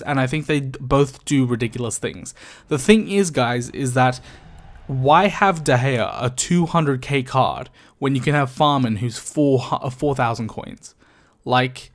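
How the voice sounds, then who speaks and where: Tired, croaky young man chatting over gameplay. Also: medium pitch. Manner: chatty, addresses viewers as guys, many ums. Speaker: gaming YouTuber. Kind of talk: casual gameplay commentary.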